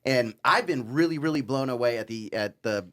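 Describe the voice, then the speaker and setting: A man with an average-pitched voice takes part in a casual podcast discussion as co-host. His way of talking is informal and candid, like someone giving a frank opinion. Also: moderate pace, fairly bright tone.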